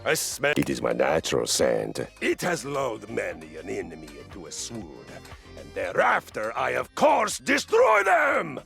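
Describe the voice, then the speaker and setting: Boastful warrior coach, booming and grandiose, gravelly swings. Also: male voice, very animated, normal speed, a bit bright, faint music behind, full character voice. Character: boastful swaggering male warrior trainer. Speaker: fitness coach. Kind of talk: game voice acting.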